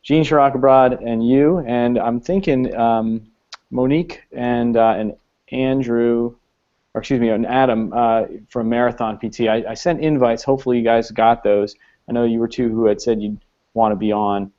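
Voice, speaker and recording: A man's voice: medium pitch, fairly even intonation, normal speed, warm tone. A man hosting a live online panel discussion, talking loosely with frequent fillers. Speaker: host. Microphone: video call. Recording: slightly muffled video-call audio.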